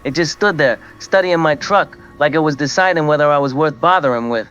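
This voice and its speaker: A man's voice: on the high side, a little fast, a bit bright, clear, resonant tone, faint music behind, natural voice. A man playing a rattled veteran truck driver calling into a radio show in an audio drama. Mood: rattled, earnest. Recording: dull lo-fi call-in sound.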